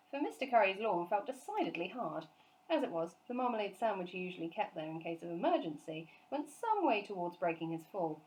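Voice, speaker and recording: Expressive woman reading a children's story. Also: medium pitch, low-quality recording. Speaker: storyteller, teacher reading aloud.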